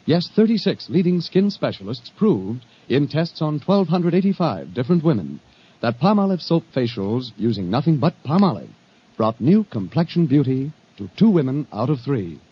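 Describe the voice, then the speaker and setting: Husky guy selling on 1950s radio, authoritative, mid pitch, muffled old recording. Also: sing-song, moderate pace. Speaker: commercial announcer. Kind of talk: scripted 1950 radio advertisement.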